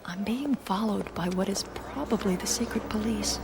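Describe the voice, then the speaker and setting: An actress playing a watchful, pensive woman who recounts an eerie journey in a radio play, with measured wonder. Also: medium pitch, close to an everyday voice. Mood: wary. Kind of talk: first-person audio drama narration. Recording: loud music under the voice.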